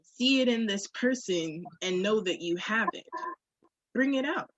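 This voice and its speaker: A woman's voice: medium pitch, animated, unhurried, a bit bright, no echo, lo-fi sound. A woman talking candidly on a podcast in an emphatic, slangy way, with swearing.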